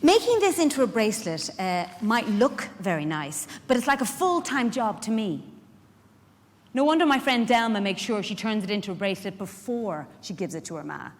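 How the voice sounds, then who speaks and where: A woman's voice: mid-range voice, sing-song, moderate pace. An Irish woman actor playing a chatty, frank, wry carer in a theatrical monologue, putting pointed questions to her listeners. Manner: conversational, pointed rhetorical questions. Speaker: actor in a dramatic reading. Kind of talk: theatrical monologue addressed to a live audience. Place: large indoor hall at a public event. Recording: echoey hall, live stage reading.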